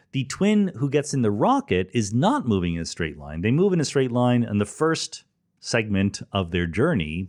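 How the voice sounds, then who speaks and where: Low-voiced man explaining, with lively intonation. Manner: didactic and conversational, with emphatic stresses. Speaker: podcast host and physics explainer. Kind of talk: solo explanatory science talk, informal lecture.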